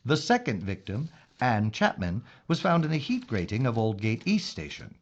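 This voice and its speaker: A man's voice: mid-range voice, huge pitch swings, moderate pace, lo-fi sound. A man playing a composed, wry police inspector in a wartime London audio drama, speaking formally and clinically. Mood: grave briefing.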